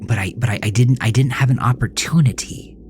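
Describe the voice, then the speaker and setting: A man playing a haunted, remorseful young man in a dramatic first-person horror monologue, halting and often sinking to a breathy whisper. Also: mid-range voice, darkish tone, faint music behind, pitched above the performer's own voice, natural voice. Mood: agitated, confessing.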